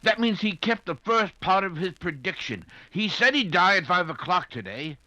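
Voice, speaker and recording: A man plays an excitable gentleman sidekick in a radio mystery. His voice is high and sharp, swinging widely as he hesitates and exclaims in a fussy, bumbling way. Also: moderate pace, full character voice. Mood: observant, concerned. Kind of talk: old-time radio mystery acting.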